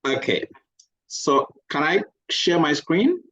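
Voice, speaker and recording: A man from Ghana, presenting for his group over a video call, polite and halting with many fillers. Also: a little high, very animated, a bit bright, dry recording, muffled recording. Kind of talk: online workshop presentation.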